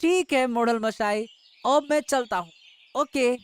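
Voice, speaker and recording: A woman's voice: fairly high pitch, slow pace, clean tone. A woman voicing a polite, caring young village boy in a cartoon story. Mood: polite, earnest. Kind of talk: Hindi cartoon story dialogue.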